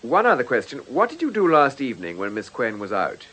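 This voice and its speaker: A man playing a gentleman police inspector in a radio drama, polite and measured in his questioning, with a dry wit. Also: mid-range voice, wildly swooping pitch, slightly rough, exaggerated voice. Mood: gentle, probing. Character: courteous, probing, wry gentleman police inspector.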